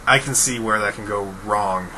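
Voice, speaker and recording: A man's voice: lowish voice, moderate pace. A young man chatting casually in a blunt, matter-of-fact way. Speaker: participant in a phone chat. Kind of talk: casual chat. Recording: noisy phone-call recording.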